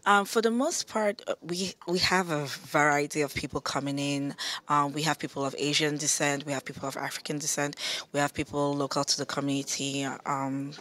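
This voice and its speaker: Woman, thirties, low register, consultant being interviewed on site. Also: steady tone, a little fast, fairly bright tone. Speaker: event coordinator and senior consultant. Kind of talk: on-camera interview. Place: busy office computer room indoors. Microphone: handheld interview microphone.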